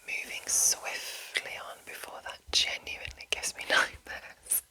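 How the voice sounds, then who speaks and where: A woman whispering as an ASMR host, reading and commenting in a hushed, lingering manner with drawn-out phrases.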